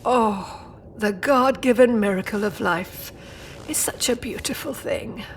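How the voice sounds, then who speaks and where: A woman's voice: fairly high pitch, a little slow, a little hiss or hum. A woman playing a proud, old-fashioned British mother and grandmother-to-be, formal and stern yet doting, in an audio drama. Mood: fond.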